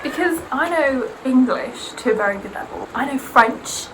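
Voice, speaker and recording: A woman's voice: high-pitched, normal speed, background noise. A young woman whose first language is Serbian, chatting casually and sarcastically while getting ready, often mimicking others. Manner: animated, sarcastic, mimics aunties. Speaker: vlogger.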